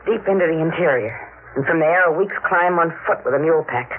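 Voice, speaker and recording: A woman's voice: deep voice, a little fast, slight background noise, natural voice. Bold, calculating young noir blackmailer, played by a woman, cool delivery on a thin, muffled vintage radio recording. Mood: scheming, matter-of-fact. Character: cool, scheming young female blackmailer, noir femme fatale. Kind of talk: 1950s radio noir acting.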